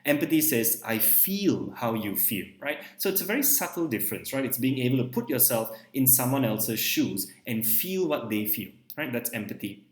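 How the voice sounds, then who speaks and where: A young man from Malaysia, a native Malay speaker, explains psychology concepts at a middle pitch. He checks in with the listener after nearly every point. The room around him has a hollow echo. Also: very animated, moderate pace, hardly any pauses. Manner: ends points with a questioning "right?". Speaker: psychology teacher. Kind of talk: recorded lecture over slides.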